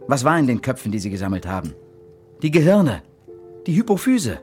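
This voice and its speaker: A man's voice: mid-range voice, sing-song, fairly quick, darkish tone, natural voice. An actor as an urgent, obsessed male investigator, music behind. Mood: grimly explaining. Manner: urgent, insistent. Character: intense, obsessed male police investigator hunting a monster. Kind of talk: German radio drama acting.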